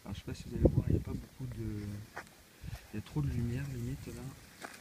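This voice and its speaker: A man's voice: medium pitch, steady tone, fast, heavy background noise. A man with a cold giving a casual, hesitant unboxing walkthrough as a tech reviewer, with many filler sounds. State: has a cold.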